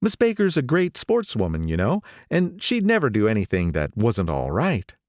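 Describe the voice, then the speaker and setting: A man's voice: lowish voice, huge pitch swings, moderate pace, no echo, studio quality, pitched above the performer's own voice. A man voicing a suave, polite young man who talks in a courteous, reassuring way. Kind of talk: audiobook character voice.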